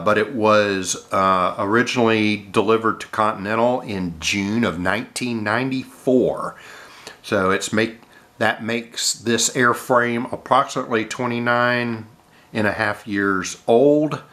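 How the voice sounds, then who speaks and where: A man with a cold, sounding nasal, casually narrating an unboxing of model airplanes with many filler sounds and throat clearing. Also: on the low side, measured pace, pushed and bright.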